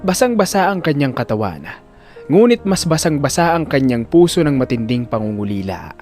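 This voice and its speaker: A man's voice: medium pitch, very animated, normal speed, a little mellow, soft background music. A man narrating a scripted drama story in a dramatic style.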